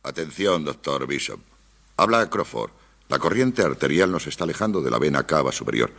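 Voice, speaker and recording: Very deep, gravelly learned doctor. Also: male voice, sing-song, normal speed, heavily acted. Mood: urgent, resolute. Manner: didactic, declamatory. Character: learned, authoritative male doctor aboard a submarine, philosophical. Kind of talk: radio drama acting. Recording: loud music behind the voice.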